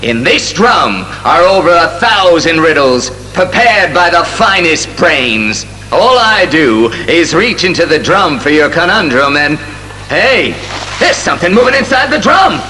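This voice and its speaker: Showy quiz emcee, hammy and patronizing, high voice, animated, music behind. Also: male voice, full character voice. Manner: hammy, drawn-out announcer shouts. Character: showy, patronizing male game show host. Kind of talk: audio drama acting as quiz show emcee.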